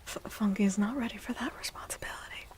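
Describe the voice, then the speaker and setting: A woman livestreaming soft-spoken ASMR chat in a playful, unhurried way, with a breathy, often whispery voice close to the mic. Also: medium pitch. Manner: playful, unhurried, repeats phrases. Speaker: livestream host.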